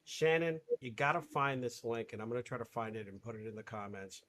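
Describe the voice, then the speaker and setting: A male host with a bright, forceful tone and an ordinary mid-range pitch. He talks in an animated, emphatic way and laughs often as he interviews a guest on a live webinar. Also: brisk, slight background noise.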